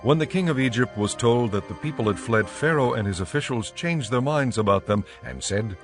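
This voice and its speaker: A man narrating dramatized scripture in a measured, formal way. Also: medium pitch. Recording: dramatic music behind voice.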